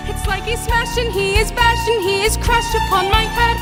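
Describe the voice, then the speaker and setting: Casting: actress as aggrieved, proud servant in a musical, very high voice over loud music. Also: female voice, steady tone, moderate pace. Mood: wry, aggrieved. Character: defiant, put-upon servant who sees herself a queen. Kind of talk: musical theatre singing and acting.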